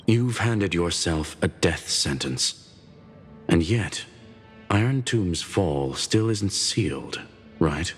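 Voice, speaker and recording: A man's voice: low voice, lively intonation, slow, husky, faint music behind, exaggerated voice. An actor playing a cold, judgmental male interrogator in a video game, terse and grave. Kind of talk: dramatic video game voice acting. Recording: long reverb on the voice.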